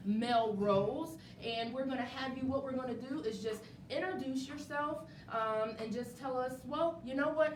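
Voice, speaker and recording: An enthusiastic woman hosts a live interview event in a fairly high voice. She sounds encouraging and repeats phrases for emphasis. She is picked up from a distance in an echoey venue. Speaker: host of a live community interview show. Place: indoor venue with a live studio audience. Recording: roomy, distant mic with clear rumbling noise.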